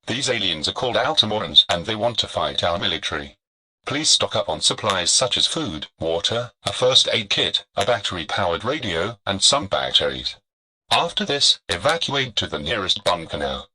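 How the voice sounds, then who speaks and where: A man's voice: low-pitched, big pitch swings, moderate pace, sharp tone, heavily acted, rough recording. A man voicing a formal government emergency alert announcer reading scripted instructions. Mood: urgent, formal. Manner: formal, official.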